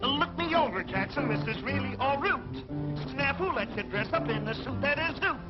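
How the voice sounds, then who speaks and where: An actor playing a swaggering soldier who shows off in slangy rhyme in a 1940s wartime cartoon. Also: male voice, very high-pitched, lively intonation, normal speed. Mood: showy. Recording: dull 1940s film soundtrack, loud music.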